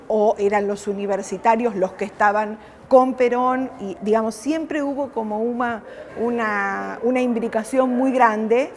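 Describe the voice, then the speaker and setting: A woman with an Argentine accent speaks off the cuff in the manner of a university academic. Her pitch is mid-range and her delivery halting, with repeated words. Faint background noise runs under the recording. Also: darkish tone. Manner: halting, repeating words while searching for phrasing. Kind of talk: unscripted spoken reflection on camera.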